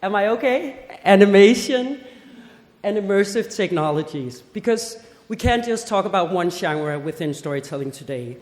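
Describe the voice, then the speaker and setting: A woman in her forties from Denmark giving a conference talk, lively, with joking asides and rhetorical questions. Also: mid-range voice, moderate pace. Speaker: festival head giving a seminar talk. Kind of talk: conference presentation. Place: seminar hall. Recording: echoey hall with long reverb.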